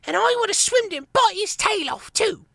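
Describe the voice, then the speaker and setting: A man voicing a tiny young male squirrel who talks in squeaky, childish bluster. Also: expressive, moderate pace, creaky, fairly bright tone, dry recording, clean studio sound, heavily acted. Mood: truculent.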